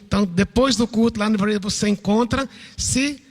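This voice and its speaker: A man's voice: high voice, moderate pace. Male Brazilian pastor in a reverberant hall. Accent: Brazilian Portuguese. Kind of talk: church welcome and guest introduction. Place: large church hall, speaking to congregation. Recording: reverberant church hall sound system.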